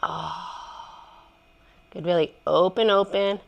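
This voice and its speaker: A woman with a head cold guides a gentle yoga flow, her voice stuffy and congested. She gives soft, encouraging cues and lets out small sighs of pleasure as she stretches. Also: lowish voice, steady tone, slow pace, gravelly, dull audio. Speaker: yoga instructor. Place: messy living room at home.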